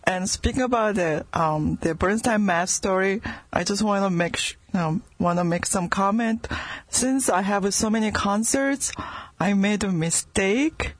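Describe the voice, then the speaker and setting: A woman's voice: medium pitch, unhurried, no room sound. A middle-aged woman from South Korea with a Korean accent, a guest organist in a casual radio interview who laughs often and makes small grammar slips. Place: radio studio.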